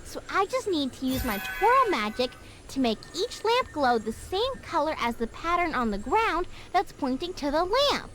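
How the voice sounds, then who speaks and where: A woman voicing an eager, helpful and earnest young girl with magic in an animated game. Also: high voice, moderate pace, few pauses, a little hiss or hum. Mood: curious, confident.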